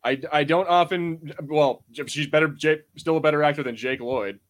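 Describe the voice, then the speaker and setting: A man in his twenties co-hosting a podcast, chatting casually in a rambling, animated, sweary way. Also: fairly high pitch, normal speed. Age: 20s. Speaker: podcast host. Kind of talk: casual panel chat reviewing a show.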